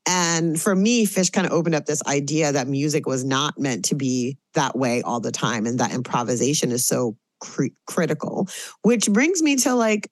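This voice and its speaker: A woman's voice: sits low, dry recording. A woman hosting a podcast, asking questions in a thoughtful, casual way with frequent "um"s. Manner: thoughtful, warm interviewer with frequent "um"s. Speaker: podcast host. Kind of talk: casual interview.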